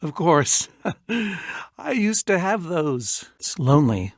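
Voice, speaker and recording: An actor playing an eccentric, lonely, reclusive adventurer in a video game, theatrical and wordy, with dry self-pity and wistfulness. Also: male voice, on the high side, sing-song, slow pace, dry recording, exaggerated voice. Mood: wistful, dry. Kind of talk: animated video game character acting.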